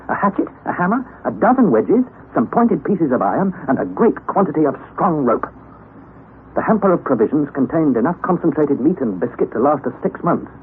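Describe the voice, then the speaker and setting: An actor playing an earnest young nephew, narrating an old-time radio drama in a measured, precise, emphatic way. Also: male voice, on the high side. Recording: noisy, tinny vintage radio recording.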